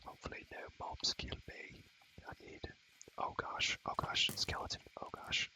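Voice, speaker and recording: A young man whispering rambling ASMR commentary on a game in a breathy voice, repeating phrases over and over with playful, slangy asides. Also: normal speed, sharp tone, poor audio. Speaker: ASMR gaming creator.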